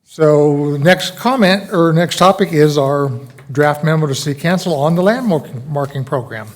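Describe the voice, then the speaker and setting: Fairly high-voiced chairman losing his voice. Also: normal speed. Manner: informal, chatty, self-deprecating. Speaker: commission chairman. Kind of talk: chairing a public meeting. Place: city council chambers.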